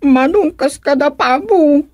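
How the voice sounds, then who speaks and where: A woman's voice: high-pitched, slow pace, darkish tone, no echo, lo-fi sound. A woman acting in a radio comedy as a timid, whiny young niece who speaks to her elders. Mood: fearful.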